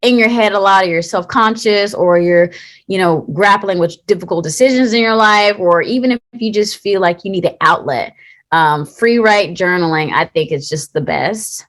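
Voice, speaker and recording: A woman in her late twenties from Texas, an actor being interviewed, talking in a chatty, lively way and laughing often. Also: mid-range voice, normal speed. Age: late 20s. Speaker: actor guest. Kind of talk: casual interview chat.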